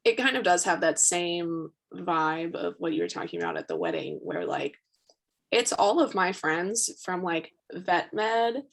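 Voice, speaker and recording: A woman's voice: lowish voice, wildly swooping pitch, unhurried. A young woman in her twenties chatting casually with her father on a family podcast, filling her sentences with "like.". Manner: chatty, many "like" fillers. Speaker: podcast co-host, daughter.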